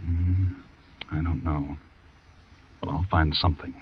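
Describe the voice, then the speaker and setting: An actor playing a cold, scheming husband in a 1940s radio thriller, speaking low and curt on a dull old broadcast. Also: male voice, fairly even intonation, a little slow, background noise. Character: cold scheming husband, middle-aged man.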